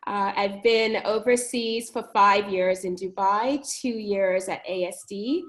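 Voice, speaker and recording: Woman school principal from New York, earnest and measured, panel webinar over a video call, mid pitch. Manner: measured, earnest, frequent ums.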